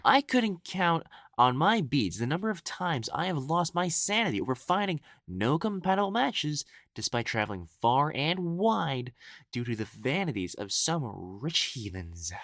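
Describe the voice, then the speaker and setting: A man's voice: on the high side, fairly bright tone, no echo, clean studio sound, full character voice. A man acting in a scripted audio roleplay as an elegant, pompous, easily exasperated young matchmaker of ancient China, speaking in formal, old-fashioned phrases.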